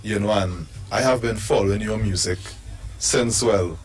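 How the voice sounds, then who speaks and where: A man interviewing a guest on a live stream, asking questions in a relaxed, conversational way. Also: lowish voice, normal speed.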